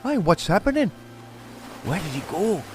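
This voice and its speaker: A man's voice: high-pitched, very animated, normal speed, soft background music. A man playing a kindly watchful young villager. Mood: wondering. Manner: earnest, wondering. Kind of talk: old-time radio drama acting.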